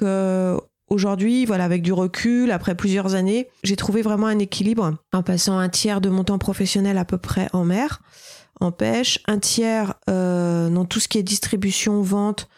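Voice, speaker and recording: A middle-aged woman who works as a fisher and lecturer, answering interview questions in a hesitant way with many pauses and restarts. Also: medium pitch, darkish tone, no echo, clean studio sound. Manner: hesitant, full of fillers and restarts.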